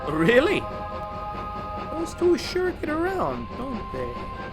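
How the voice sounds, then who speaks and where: A man's voice: extremely high pitch, huge pitch swings, slow pace, loud music behind the voice, full character voice. A man voicing a boastful, charming male tank engine in an audio drama, with a jaunty, folksy manner. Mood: friendly, charmed.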